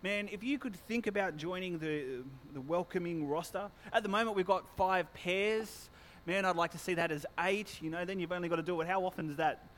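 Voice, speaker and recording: A man with a loud voice, a pastor giving church notices and a sermon in a chatty, self-mocking way, joking with the congregation. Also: high voice, fairly quick, slight background noise. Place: church hall. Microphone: handheld or stand microphone.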